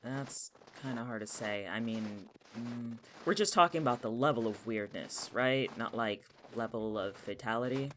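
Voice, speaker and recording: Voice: medium pitch, normal speed, few pauses, fairly bright tone, a little hiss or hum, natural voice. An actor in a naturalistic audio drama playing an exasperated, protective young person with a casual, sardonic, blunt way of talking. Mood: wry, casual. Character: exasperated, protective young person who knows the town's dangers.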